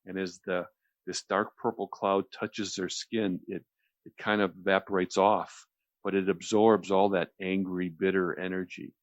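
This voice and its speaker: A deep-voiced man talks on the radio in a close, dry sound. He explains things conversationally as a host would, rambling a little and often repeating a phrase before moving on. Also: level tone, moderate pace, no room sound, lo-fi sound. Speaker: radio show host explaining relaxation technique.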